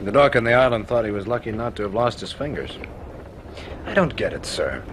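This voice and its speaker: A man's voice: sing-song, a little fast. An actor playing a puzzled, respectful young male student in a radio drama. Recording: noisy radio drama with vehicle rumble.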